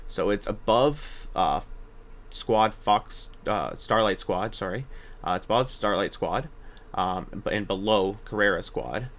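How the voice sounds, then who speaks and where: Low-pitched man giving a casual game guide. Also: unhurried. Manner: informal, hesitant, self-correcting. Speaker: gaming video guide narrator. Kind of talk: casual game walkthrough commentary.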